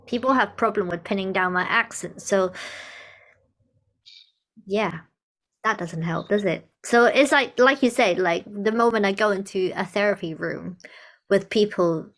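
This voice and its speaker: Young woman from Hong Kong, music therapist, animated and quick to laugh in casual discussion. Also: mid-range voice. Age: young adult. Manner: animated, laughs often, acts out quoted remarks. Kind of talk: conversational discussion.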